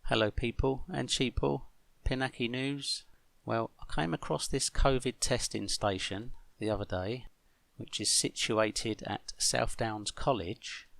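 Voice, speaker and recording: A middle-aged man hosting a vlog monologue, speaking in blunt, grumbling, deliberate phrases. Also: mid-range voice, steady tone, creaky, a bit bright, slight background noise. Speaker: host of an online video channel.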